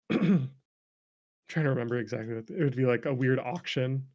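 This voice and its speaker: A man's voice: medium pitch, moderate pace, a little mellow. A man talking casually as an interview guest about his work as a game developer, rambling and joking at his own expense. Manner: rambling, self-deprecating, jokey. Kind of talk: casual chat.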